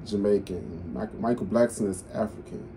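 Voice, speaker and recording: A man's voice: fairly low pitch, moderate pace, creaky, warm tone, slight background noise. A young man hosting a reaction video from a small room, making wry casual asides and correcting people on camera. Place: small room indoors, slanted ceiling. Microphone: close webcam or phone.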